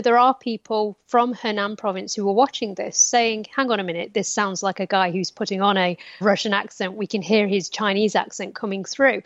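A woman's voice: a little high, dry recording, lo-fi sound. A woman analyst answering interview questions conversationally, explaining with examples. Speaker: media analyst.

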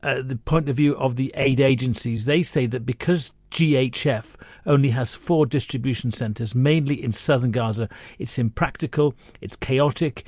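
A man's voice: mid-range voice, moderate pace, darkish tone, no room sound, clean studio sound. A man, working as a correspondent and giving unscripted analysis with frequent hesitations.

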